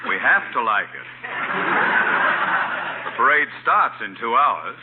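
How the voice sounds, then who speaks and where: Harried mayor on tinny radio. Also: male voice, mid-range voice, very animated, unhurried. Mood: dry, courteous. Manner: formal, exasperated, polite. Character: harried, pompous, courteous small-town mayor. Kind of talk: 1940s radio comedy acting. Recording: tinny, hissy old radio broadcast.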